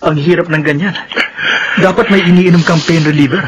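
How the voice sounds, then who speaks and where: A man voicing a loyal friend who talks in a high, urgent, pleading way. The radio drama recording is noisy behind him. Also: fairly even intonation, fairly quick, muffled recording, close to an everyday voice. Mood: urging. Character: Gardo, loyal worried male friend.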